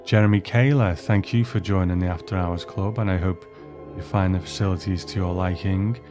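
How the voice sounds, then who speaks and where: A male podcast host with a notably deep voice reads out acknowledgements to his listeners. His phrasing is courteous and measured, and music plays clearly behind him. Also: fairly even intonation. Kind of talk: scripted listener thank-you announcements.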